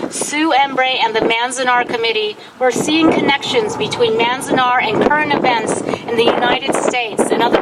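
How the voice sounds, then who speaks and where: A woman reads a prepared public speech at an outdoor gathering in a solemn, deliberate, formal manner. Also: sits high. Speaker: speaker at a commemorative gathering. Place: outdoors at a historic site. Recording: wind-buffeted outdoor event microphone, loud noise.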